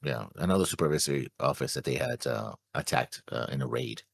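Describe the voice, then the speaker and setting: A man's voice: rumbling low, a little fast, gravelly, husky, no room sound, studio quality. A man co-hosting a reaction show, chatting casually and somewhat hesitantly, often trailing off. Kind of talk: casual discussion of an anime episode.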